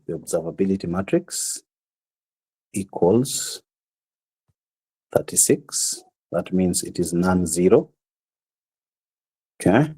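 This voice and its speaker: Male lecturer, very deep voice, mellow and dark, slightly hoarse, measured step-by-step teaching in a classroom. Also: poor audio. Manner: measured, explains step by step with frequent "okay" and "uh". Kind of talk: university lecture.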